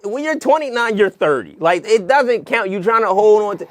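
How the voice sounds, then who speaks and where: A man's voice: high voice, animated, moderate pace. A man in his late twenties hosting a podcast, talking in a laid-back, slangy way and laughing often. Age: 20s. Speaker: podcast host. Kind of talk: casual chat.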